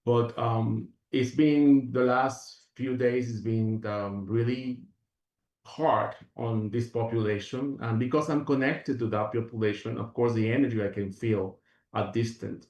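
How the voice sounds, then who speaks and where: Guest from Venezuela, earnest rambler with restarts, a bit far off the mic. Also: male voice, mid-range voice, measured pace, darkish tone, echoey room. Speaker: guest, functional medicine practitioner. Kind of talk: reflective interview talk.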